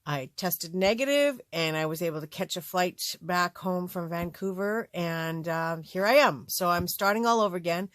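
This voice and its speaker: A middle-aged woman from Canada with a slightly raspy voice, chatting casually to camera in her craft studio and rambling with many fillers and restarts. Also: on the low side, moderate pace, few pauses, fairly bright tone, dry recording. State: slightly raspy voice after illness. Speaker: crafting channel host.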